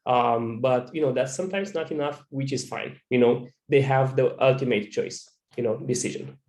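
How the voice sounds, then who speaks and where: A man's voice: mid-range voice, moderate pace, darkish tone. A man with a Romanian accent, a business owner answering interview questions over a video call, casual and earnest, with a lot of "you know". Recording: roomy video call audio.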